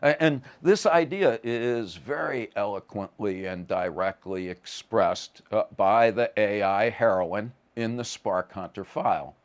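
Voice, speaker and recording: A man's voice: on the low side, measured pace, few pauses. A man giving a conversational lecture in a wry, vivid, emphatic manner, often stammering on the starts of words.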